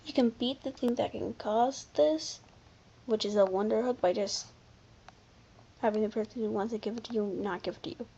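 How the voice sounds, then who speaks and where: A commentator giving casual, unscripted video commentary in an informal, halting way, often backtracking. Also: mid-range voice, dark tone, breathy edge, highs cut off. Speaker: video creator presenting a ranking.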